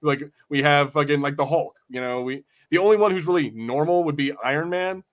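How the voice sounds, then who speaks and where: A man's voice: fairly high pitch, brisk. A man co-hosting a podcast, chatting casually about pop culture in a profane, exasperated ranting manner. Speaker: podcast co-host.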